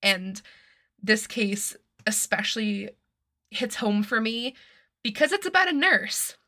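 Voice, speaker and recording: A woman's voice: fairly high pitch, very animated, takes it slowly, sharp tone. A young woman from Massachusetts hosting a casual true-crime podcast, chatty and swearing freely, her voice still a bit nasal and strained from being sick. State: slightly nasal and strained from illness. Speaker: podcast host.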